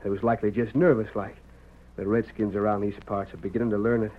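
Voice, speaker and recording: A man's voice: lowish voice, flat delivery, fast talker, lightly acted. An American actor playing an exhausted, dutiful frontier husband and father with a folksy Western drawl, in old-time radio style. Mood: grim, shaken. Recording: muffled narrowband vintage radio recording.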